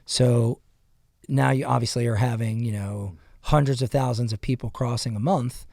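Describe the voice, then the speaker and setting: A man's voice: mid-range voice, moderate pace, vocal fry, darkish tone, no echo, clean studio sound. A man telling stories animatedly in casual podcast chat, often saying "bro". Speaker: podcast guest. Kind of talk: casual storytelling.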